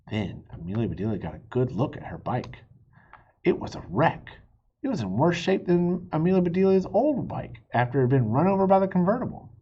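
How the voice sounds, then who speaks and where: Male children's book reader: animated, explanatory, very raspy, swinging pitch, dull lo-fi sound. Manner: animated, explains words to young listeners. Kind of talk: expressive storybook read-aloud with asides.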